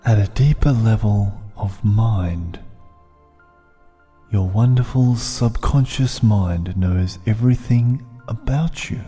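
Slow, low, husky man guiding meditation. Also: dark tone. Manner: soothing, deliberate, with long pauses between short phrases. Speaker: guided meditation narrator. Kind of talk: hypnotic guided meditation. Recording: long reverb, music bed underneath. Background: music.

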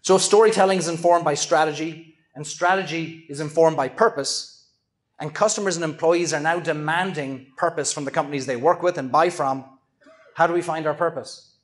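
A middle-aged man giving a conference keynote, earnest and measured, with self-deprecating asides. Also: a little high. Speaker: keynote speaker. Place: large event hall with an audience. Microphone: handheld microphone at a lectern. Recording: echoey hall.

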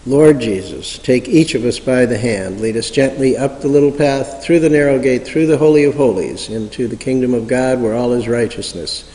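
Guy doing guided prayer meditation, soft dark tone, measured w long pauses, lots of reverb. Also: medium pitch. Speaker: meditation leader. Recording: reverberant hall sound.

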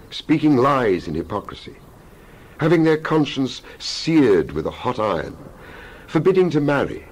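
A man reading scripture aloud in a dramatized style, solemn and declamatory, in measured phrases. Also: on the low side, slightly rough, rough recording. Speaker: Bible reader.

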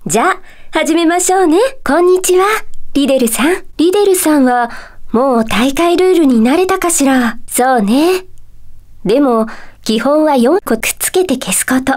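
A woman playing a gentle, polite magic-school teacher in a Japanese video game, sweetly composed, with coy, teacherly phrasing. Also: high voice, lively intonation, normal speed. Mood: gentle, teasing. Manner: polite, soft-spoken teacherly phrasing with playful coyness. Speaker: voice actor.

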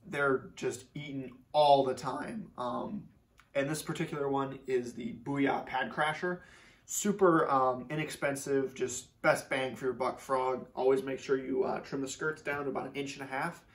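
A young man from Minnesota explaining fishing tackle in a casual, relaxed way, with lots of fillers, seated in a garage. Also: medium pitch, normal speed, recorded from a distance. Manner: relaxed, many fillers, repeats words for emphasis. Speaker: fishing channel host. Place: garage workshop, seated indoors. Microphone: camera a few feet away.

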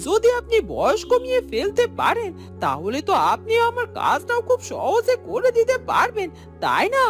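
A woman voicing a greedy, scheming village headman's wife in a cartoon, wheedling and excitable. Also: extremely high pitch, moderate pace, faint music behind, heavily acted. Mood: amazed. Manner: wheedling, excitable, repeats herself. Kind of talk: Bengali fairy-tale cartoon acting.

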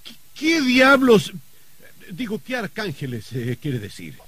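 A sly, menacing uncle in a radio play. His husky voice swoops widely and takes its time, halting theatrically as he mocks his listeners. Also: high-pitched, wildly swooping pitch, fairly bright tone, heavily acted. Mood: flustered. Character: gleeful, mocking, menacing uncle, a murdered novelist host. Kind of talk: melodramatic radio drama acting.

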